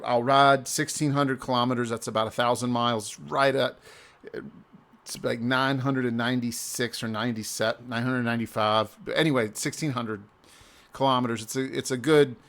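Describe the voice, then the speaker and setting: A man's voice: mid-range voice, fairly bright tone. Easygoing man rambling through a recap. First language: English. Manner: easygoing, rambling, often pauses and restarts mid-sentence. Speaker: amateur cyclist reviewing an event. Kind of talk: casual screen-share walkthrough.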